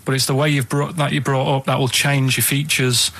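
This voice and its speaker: A man telling a casual, meandering anecdote on a radio comedy show, halting and repeating himself as he goes. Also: medium pitch, little pitch movement, creaky, fairly bright tone.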